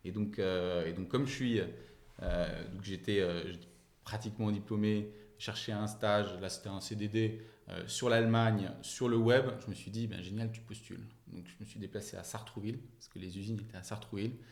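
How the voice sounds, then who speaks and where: A man in his late thirties speaks in a fairly low voice with noticeable room echo. He gives casual interview answers as a company founder, hesitating and repeating words while he thinks. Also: steady tone, normal speed. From France and Germany. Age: late 30s. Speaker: company founder, podcast guest. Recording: roomy, echoing interview sound.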